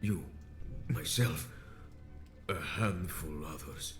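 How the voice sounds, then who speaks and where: An actor playing a badly wounded, monstrous vampire elder in a video game, grave and vengeful, with a breathy rasping whisper and archaic, theatrical phrasing. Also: male voice, extremely low pitch. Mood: bitter, pained. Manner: theatrical, archaic, slow pronouncements. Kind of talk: dramatic video game acting. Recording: echoey, with loud music underneath. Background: music.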